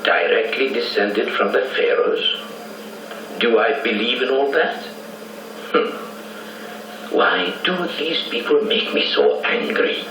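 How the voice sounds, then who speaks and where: A man's voice: medium pitch, lively intonation, sharp tone, far from the mic. Dignified statesman, very echoey. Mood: polite, guarded. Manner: measured, eloquent. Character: dignified, proud Egyptian male statesman, philosophical and quietly defiant. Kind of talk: 1990s radio drama acting. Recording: very echoey radio copy, faint noise.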